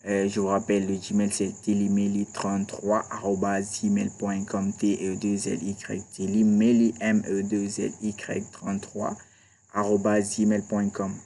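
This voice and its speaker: A man's voice: lowish voice, completely flat delivery, a little slow, mellow. A man teaches a screen-recorded computer tutorial, chatty and repeating small checks with his viewers. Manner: chatty, repeats "d'accord" and "voilà". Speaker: IT tutorial instructor.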